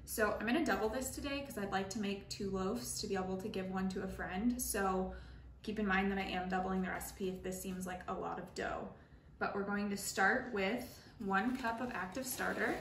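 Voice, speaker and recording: A woman's voice: mid-range voice, moderate pace, fairly bright tone. A young woman hosting a casual home baking tutorial, talking through each step in a friendly, chatty way as she works in her kitchen. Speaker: home baker and channel host. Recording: echoey kitchen with faint noise.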